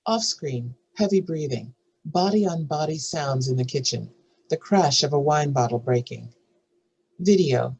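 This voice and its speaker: A woman with a husky voice narrating screenplay stage directions in a scripted reading. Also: low voice, expressive, a little slow, darkish tone.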